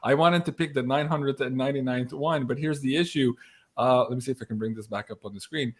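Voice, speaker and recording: A man hosting a live online show in an upbeat, excitable, casual way, reading out viewer questions and announcements. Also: medium pitch, fast talker, slightly rough.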